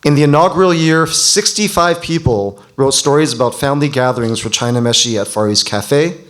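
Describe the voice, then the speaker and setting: Male, mid-pitched, event host, informal, chatty, jokey, audience-directed, live stage delivery. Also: brisk, fairly bright tone.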